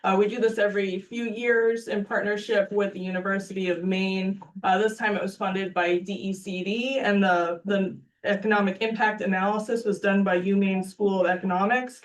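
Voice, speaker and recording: A woman's voice: medium pitch, fairly even intonation, moderate pace, hardly any pauses, far from the mic, rough recording. Earnest woman giving opening remarks from afar. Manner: earnest, upbeat, with fillers. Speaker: executive director. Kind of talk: opening remarks at a panel breakfast. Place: meeting room.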